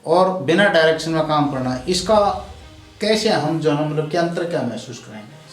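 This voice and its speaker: A man asking measured questions as an interviewer. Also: fairly high pitch, animated. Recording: roomy echo, music underneath.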